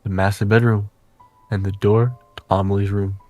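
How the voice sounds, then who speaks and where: A man with a fairly low voice narrates a visual novel aloud. His phrasing is deliberate and suspenseful, like a dramatic storyteller's, and faint music plays behind him. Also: level tone, warm tone.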